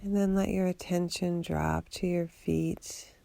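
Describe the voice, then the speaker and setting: A woman guiding a body scan meditation for sleep in a soothing, unhurried, gently instructing way. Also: fairly low pitch, steady tone, creaky, no echo. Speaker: meditation guide.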